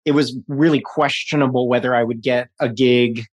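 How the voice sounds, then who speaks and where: A man's voice: mid-range voice, fairly even intonation, moderate pace. A man in his forties from New York City, a guest talking loosely in an interview, with lots of restarts and fillers and frequent laughs. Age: 40s. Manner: rambling, many restarts and fillers, laughs often. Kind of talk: casual interview conversation.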